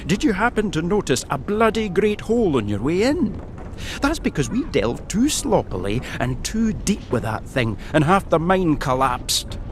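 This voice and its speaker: Animated, grumbling British foreman. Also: on the high side, moderate pace, a little hiss or hum. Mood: exasperated, sardonic. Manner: blunt, sardonic, exclamatory. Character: grumbling, sardonic overworked British mine foreman. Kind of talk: video game cutscene acting.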